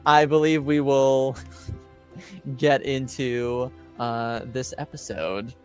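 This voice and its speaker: A man acting as game master, casually recapping a tabletop roleplaying story in a halting, drawn-out way. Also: mid-range voice, steady tone, soft background music.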